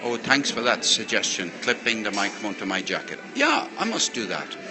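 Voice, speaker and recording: A man's voice: lowish voice, huge pitch swings, normal speed, music in the background. A man chatting casually to viewers on a livestream as a poetry host, rambling and full of thanks, speaking into a handheld earbud mic. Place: busy café. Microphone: handheld earbud microphone. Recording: live phone stream in busy café. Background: chatter of other people.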